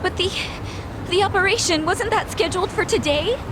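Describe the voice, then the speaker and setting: A woman's voice: very high-pitched, moderate pace, background noise. A woman playing a polite, anxious daughter in a video game, hesitant and timid in her way of talking. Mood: distressed.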